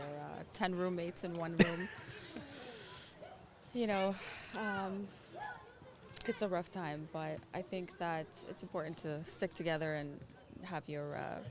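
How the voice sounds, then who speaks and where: An adult parent born in Russia and raised in Israel and Canada, speaking with a gravelly, creaky edge in an earnest, reflective way. The talk is a casual street conversation with frequent laughs, recorded outdoors with a busy crowd clearly audible behind. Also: medium pitch. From born in Russia, grew up in Israel and Canada. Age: adult with children. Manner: thoughtful, earnest, laughs often. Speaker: interviewee, stranger met on the street. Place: outdoors in a public area.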